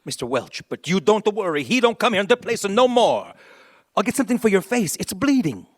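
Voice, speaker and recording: An actor playing a warm, protective, fussing immigrant barkeeper in a live radio play. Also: male voice, sits high, very animated, a little fast, no room sound, studio quality. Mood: concerned.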